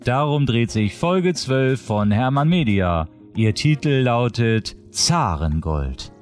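A man's voice: medium pitch, sing-song, slow, soft background music. A man presenting a roundup of new releases in scripted announcement narration.

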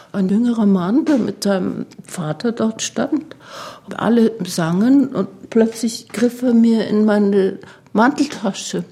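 A woman's voice: mid-range voice, dark tone, husky. An older woman recalling events she witnessed, in the style of a personal interview. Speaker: interviewed eyewitness. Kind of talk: personal recollection.

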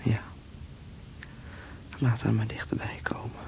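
An actor playing a frail, tender young man recovering from illness, breathy and halting, in a radio drama. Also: on the low side, level tone, darkish tone. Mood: drowsy, hesitant. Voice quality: breathy, often whispering. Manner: halting, slow, intimate. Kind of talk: Dutch radio drama acting.

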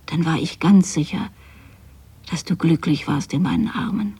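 An actress playing a lonely, possessive, bitter mother in a radio play, speaking in an intimate, hushed, literary monologue. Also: low voice, normal speed, husky, exaggerated voice. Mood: tender, mournful.

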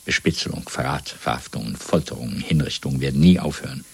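An actor playing a coldly domineering party interrogator in a German radio play, lecturing in a measured, zealous way with a gravelly, husky voice. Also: male voice, rumbling low, no room sound, full character voice. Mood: menacing, exalted. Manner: measured, lecturing, swelling from hushed to fervent.

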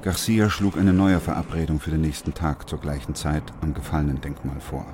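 A sober doctor and chronicler in a literary radio drama. His voice sits exceptionally deep. He narrates in a composed, measured way, as a man recording grim events. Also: steady tone, background noise.

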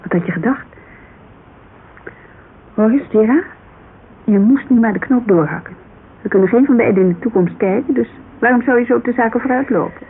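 A woman's voice: medium pitch, close to an everyday voice. An actress playing a headstrong, insistent businesswoman in a conversational Dutch radio drama, blunt and exasperated. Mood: determined. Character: headstrong, blunt businesswoman client pressing a detective. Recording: narrow, noisy, creaky-sounding old radio broadcast.

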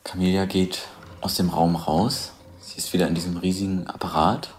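A woman's voice: rumbling low, flat delivery, moderate pace, a little mellow. A woman playing an attentive observer who describes scenes in short statements in an audio drama. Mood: hushed, tense.

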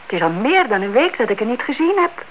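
An actress playing a nosy, suspicious older aunt, chatty and insistent, in a radio play. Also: female voice, fairly high pitch, big pitch swings, quick pace. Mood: gossipy, curious. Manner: chatty, insistent, inquisitive, repeats herself. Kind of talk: Dutch radio play acting. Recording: dull, noisy old radio-play recording.

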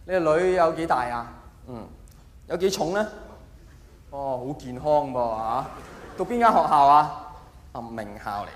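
A man with a Hong Kong Cantonese accent playing a courteous, officious bank loan officer in a comedy routine, asking formal, measured questions. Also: a little high, lively intonation, voice pushed down, lightly acted. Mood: polite, probing. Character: polite, officious male bank loan officer interviewing a client. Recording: echoey hall, faint background noise.

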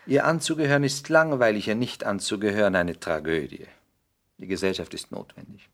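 Ein Schauspieler spielt in einem Hörspiel der 1960er einen zynischen englischen Aristokraten, geschliffen, weltgewandt und herablassend. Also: male voice, lowish voice, a little fast, natural voice. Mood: witty, cynical. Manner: polished, epigrammatic, worldly, condescending. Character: suave, cynical English aristocrat dandy, lecturing a young man. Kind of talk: 1960s German radio play acting.

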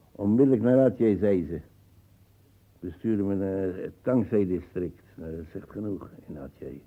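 A grumbling old soldier for a radio play, with a very dark, gravelly voice. He rambles haltingly about the old days and is full of disdain. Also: low voice, low-quality recording. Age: older. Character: grumbling old colonial army veteran, gun collector.

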